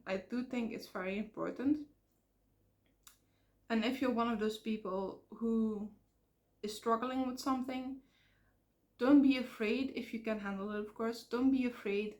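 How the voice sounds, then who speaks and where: A woman from the Netherlands with a Dutch accent, talking in an earnest, rambling way with fillers and self-corrections in a personal vlog. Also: mid-range voice. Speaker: vlogger. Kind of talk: casual personal vlog talk.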